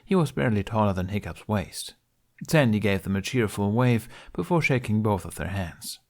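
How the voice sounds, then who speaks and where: Low-voiced man narrating a story. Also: expressive, moderate pace. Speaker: podfic narrator. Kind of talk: scripted narration of a fantasy story.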